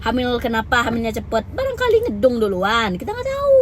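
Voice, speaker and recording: Young woman, raised pitch, chatty, blunt, emphatic, candid storytelling. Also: huge pitch swings, brisk, slight background noise. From Lampung, Indonesia. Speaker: vlogger.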